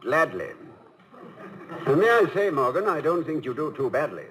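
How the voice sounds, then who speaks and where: An actor playing a dignified, dryly witty middle-aged college president in a 1950s radio comedy-drama, speaking formally and precisely. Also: male voice, a little high, very animated, normal speed, a bit bright. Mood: courteous. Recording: noisy old radio broadcast recording.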